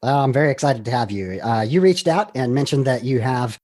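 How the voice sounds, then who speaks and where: A man's voice: medium pitch, brisk, no echo, clean studio sound. A man hosting a podcast, introducing his guest and asking questions in an encouraging way. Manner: warm, encouraging interviewer with short backchannels. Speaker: podcast host. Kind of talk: podcast interview.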